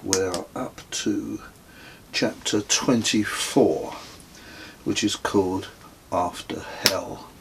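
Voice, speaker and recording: A middle-aged man reading his own travel memoir as an audiobook, in a measured, dryly wry manner. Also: lowish voice, creaky, background noise. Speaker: author reading own book.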